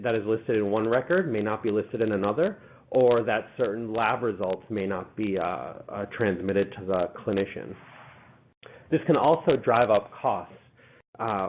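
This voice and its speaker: A man with a cold giving a webinar presentation, sounding congested and pausing often with fillers. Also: fairly low pitch, slight background noise. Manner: halting, many uh fillers. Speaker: presenter, policy manager. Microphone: online webinar connection. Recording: phone-like webinar audio.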